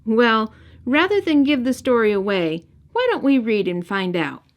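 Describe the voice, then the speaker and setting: A woman narrating a children's storybook as the storyteller, in an expressive scripted read-aloud style. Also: a little high, normal speed. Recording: music bed behind narration.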